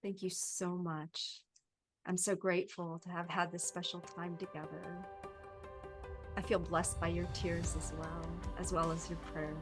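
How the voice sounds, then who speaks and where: A woman hosting an interview podcast who listens closely, affirms often with short replies, and asks her questions gently. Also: fairly low pitch, flat delivery, unhurried, over music. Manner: warm affirming listener, lots of "mm-hmm" and "yes". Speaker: podcast host.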